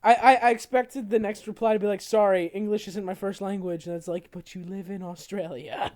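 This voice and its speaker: A man co-hosting a casual podcast, chiming in with quick sarcastic remarks. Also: high-pitched, fairly even intonation, darkish tone. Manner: quick reactions, sarcastic comments, laughs often. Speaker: podcast co-host. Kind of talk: casual chat.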